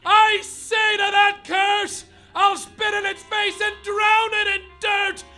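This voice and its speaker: Man playing an overwrought, very high-pitched private detective. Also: monotone, takes it slowly, sharp tone, raised voice, soft background music, heavily acted. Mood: defiant. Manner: overwrought, declamatory. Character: melodramatic heartbroken male private detective, boastful and defiant. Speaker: lead actor and narrator. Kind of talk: parody noir detective narration, live radio play.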